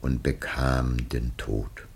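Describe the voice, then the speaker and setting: Very deep, husky dying old patriarch. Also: male voice, fairly even intonation, full character voice. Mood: solemn lament. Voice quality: very husky, breathy. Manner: slow, solemn declamation. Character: dying old patriarch, solemn, anguished father. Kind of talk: declaimed classical radio drama.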